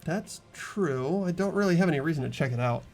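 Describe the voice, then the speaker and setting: A man's voice: fairly high pitch, animated, a little fast, darkish tone, soft background music. A young man hosting a group game playthrough, moving between reading narration and casual commentary.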